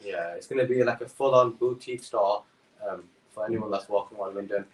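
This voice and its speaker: A man's voice: on the low side, a little fast. A man who runs a perfume business, answering questions hesitantly with many fillers, heard over a remote call. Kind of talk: guest answering interview questions. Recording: echoey and distant.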